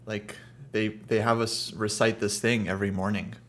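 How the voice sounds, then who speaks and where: A man's voice: fairly low pitch, normal speed. A man, chatting easily while commentating a chess game. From United States, raised in California. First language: Russian. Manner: relaxed, hedging, lots of fillers. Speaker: chess commentator, international master. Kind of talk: casual chess commentary chat.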